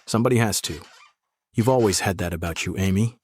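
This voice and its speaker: A man playing a tired middle-aged policeman in an audio drama, fatherly and conversational while quietly probing. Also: low-pitched, fast talker, slight background noise, natural voice. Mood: calm, probing. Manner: casual, conversational, subtly probing. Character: tired gray-haired cop, fatherly yet quietly dangerous.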